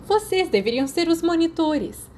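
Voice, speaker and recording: A woman reader acts out a feisty teenage girl with a Brazilian accent. She is bossy and quick to accuse, exclaiming in an audiobook story over faint background noise. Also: very high voice, expressive, a little mellow, pitched above the performer's own voice. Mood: scolding. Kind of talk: audiobook character voice.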